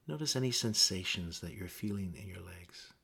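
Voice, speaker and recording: A man gently leading a guided meditation with measured instructions and pauses. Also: low voice, animated, creaky, breathy edge.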